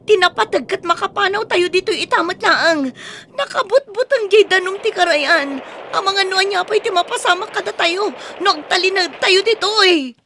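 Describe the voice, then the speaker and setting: A woman's voice: very high voice, animated, moderate pace, pushed and bright, exaggerated voice. A woman playing an anxious mother of a poor family who pleads with her household during a typhoon, in a radio drama. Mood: worried. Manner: fretful, pleading. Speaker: voice actor.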